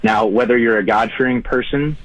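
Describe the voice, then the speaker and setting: A man's voice: medium pitch, fairly even intonation, brisk, dry recording. A man in his thirties calling in to a talk show, speaking earnestly with many fillers and restarts. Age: 30s. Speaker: caller. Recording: muffled dry call-in line.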